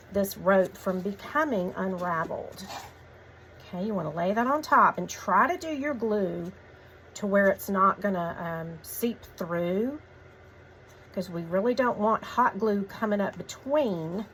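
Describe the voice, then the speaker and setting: A woman hosting a craft tutorial, giving relaxed, chatty step-by-step instructions in a voice a little croaky from a cold. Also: medium pitch, slight background noise.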